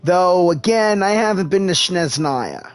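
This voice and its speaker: High-voiced, slow-talking male game streamer. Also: expressive. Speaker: gaming streamer and amateur voice-over artist. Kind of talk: live game playthrough, voicing replies to characters. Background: game music.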